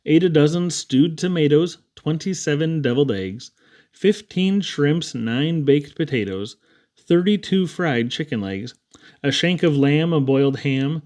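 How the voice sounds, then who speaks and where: A man reading children's poetry aloud in an expressive, theatrical storytelling style with dramatic emphasis. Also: on the high side. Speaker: reader.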